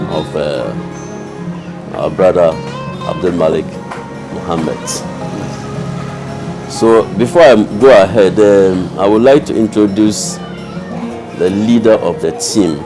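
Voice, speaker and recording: A man gives a measured, formal address to a gathered community. His voice sits very low. Loud music plays behind him throughout. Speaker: community leader addressing visiting guests.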